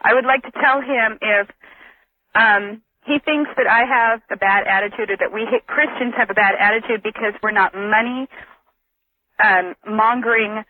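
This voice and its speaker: A woman's voice: a little high, moderate pace, a little hiss or hum. Woman on a tinny payphone. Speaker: radio show caller. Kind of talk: casual phone call to a radio show. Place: outdoors, maybe at a phone booth. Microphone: telephone. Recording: tinny outdoor payphone line on radio.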